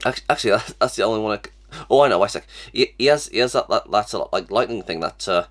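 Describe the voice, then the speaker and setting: Young male host with a cold, fairly low voice, halting and repetitive delivery, recorded at a desk webcam. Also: animated. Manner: stammering, many restarts and fillers. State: has a cold, sniffly. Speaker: reaction channel host. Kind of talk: casual reaction vlog. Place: small cluttered room indoors.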